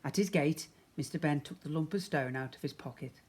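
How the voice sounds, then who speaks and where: A man's voice: fairly high pitch, brisk, a little mellow. Man reading a children's story aloud. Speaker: primary school teacher.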